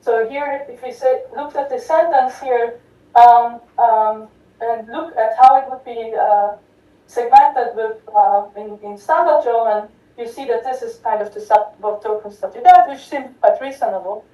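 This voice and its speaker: A woman's voice: fairly high pitch, unhurried, dark tone. A woman giving an academic conference talk, with many fillers and restarts, often checking in with "right?". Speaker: conference speaker. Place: lecture hall. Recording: distant echoey lo-fi hall recording.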